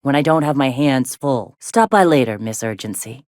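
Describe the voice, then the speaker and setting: A woman voicing a gruff, plainspoken middle-aged frontier medic in a video game, with a blunt, folksy way of talking. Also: very deep voice, lively intonation, moderate pace, a little mellow, no echo, studio quality. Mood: curt, sardonic. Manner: folksy, blunt, drawling slang with swearing. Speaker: video game character, medic and deputy leader.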